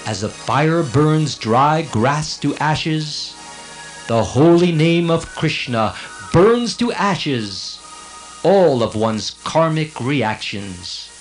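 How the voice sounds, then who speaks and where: An actor playing a righteous celestial servant of God in a dramatized scripture audiobook, arguing earnestly in a formal, declamatory way. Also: male voice, on the high side, animated, extremely slow, soft background music, low-quality recording.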